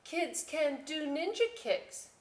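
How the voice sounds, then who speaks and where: A woman voicing an expressive young girl in a children's picture-book read-aloud, with exaggerated, emphatic delivery. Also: high voice, slow pace, voice pushed up. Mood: eager, insistent. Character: expressive young girl, bored, eager, exasperated. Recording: roomy indoor echo.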